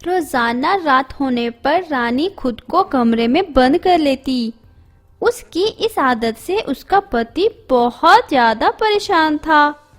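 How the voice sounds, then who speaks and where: A woman narrating an animated moral story in a steady storybook manner. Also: high-pitched, slow, soft background music.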